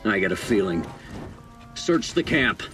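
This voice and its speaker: A grim, brooding man from a video game. His voice is low and menacing, in slow, clipped phrases. It sounds slightly distant, with faint music. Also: very animated, a bit bright. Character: grim, menacing man who hates peace. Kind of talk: dramatic video game acting.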